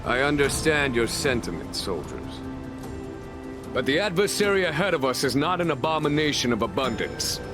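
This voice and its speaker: A man playing a composed veteran general in a game cutscene, speaking in a measured, formal and authoritative way. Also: mid-range voice, big pitch swings, over music, close to an everyday voice. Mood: commanding. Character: composed veteran male general commanding knights. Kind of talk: video game cutscene acting.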